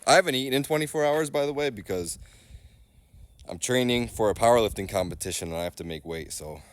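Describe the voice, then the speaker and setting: A man's voice: mid-range voice, animated, quick pace. A man in his thirties with a stuffy head cold, talking loosely and self-deprecatingly while showing off his car outdoors on a phone camera. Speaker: car vlogger. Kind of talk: casual car walkaround vlog. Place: outdoors in a gravel driveway and inside a small car. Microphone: phone camera selfie view.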